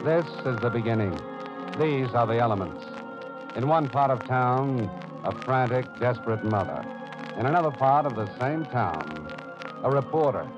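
A man's voice: medium pitch, expressive, normal speed. A man narrating an old-time radio drama in a portentous, emphatic scripted style. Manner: portentous, emphatic, second-person storytelling. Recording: dull old radio broadcast. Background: dramatic music.

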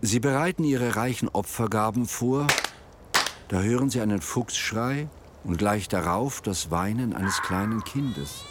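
A man narrating a radio drama in a measured, literary storytelling manner. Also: lowish voice, animated, very noisy.

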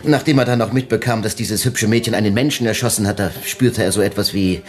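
An actor playing a shrewd, world-weary police inspector who probes insistently and explains step by step in a radio crime drama. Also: male voice, fairly low pitch, fast, close to an everyday voice. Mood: wry. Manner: probing, explains step by step, prodding questions.

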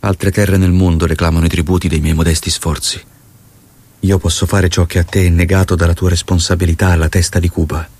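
An actor playing a tender, solemn revolutionary saying farewell in poetic, measured stage-drama style. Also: male voice, low-pitched, flat delivery, a little mellow, no echo. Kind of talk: poetic stage-drama acting, reciting letters.

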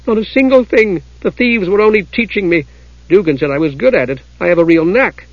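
Man voicing a defiant, husky young woman thief. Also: fairly high pitch, lively intonation, normal speed, dry recording, lo-fi sound. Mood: heated. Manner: defensive, earnest. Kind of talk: fantasy audiobook character voice.

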